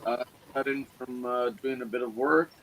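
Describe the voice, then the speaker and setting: A man's voice: mid-range voice, level tone, moderate pace, background noise. A man from Ontario, Canada, calling in as a guest. He is congested and losing his voice, and he talks in a friendly, laid-back way. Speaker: guest caller.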